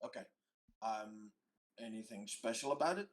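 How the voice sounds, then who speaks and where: A man's voice: lowish voice, lively intonation, moderate pace. A man talking casually as a player in a tabletop game. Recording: roomy, echoey mic.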